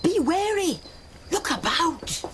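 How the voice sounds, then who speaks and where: High, urgent nurse-servant. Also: female voice, very animated, takes it slowly, a little hiss or hum, exaggerated voice. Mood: urgent warning. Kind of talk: classical Shakespearean radio drama acting.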